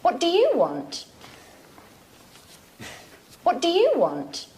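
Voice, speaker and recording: Actress as a slow, swooping woman in course dialogue. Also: high-pitched, wildly swooping pitch, darkish tone, full character voice. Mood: deliberate, instructional. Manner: deliberate, simple short sentences. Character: woman answering questions in language-course example dialogues. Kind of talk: acted textbook example dialogue.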